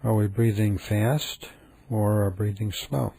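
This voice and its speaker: A man leading a guided meditation in a gentle, calm, unhurried manner with frequent pauses. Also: fairly low pitch, gravelly, slightly rough.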